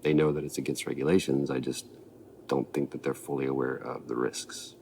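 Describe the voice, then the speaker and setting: A man acting a weary, worried space-station medical officer in a video game, speaking a personal log haltingly in a gravelly voice. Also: extremely low pitch, level tone, a little mellow, a little hiss or hum. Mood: concerned, measured. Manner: halting, hesitant delivery.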